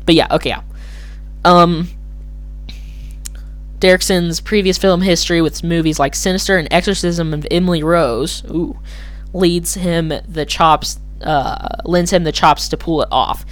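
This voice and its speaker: A podcast host with a slightly hoarse voice, talking casually and alone in a rambling, hesitant way that keeps correcting itself. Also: medium pitch, fairly even intonation, a little slow. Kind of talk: casual solo commentary.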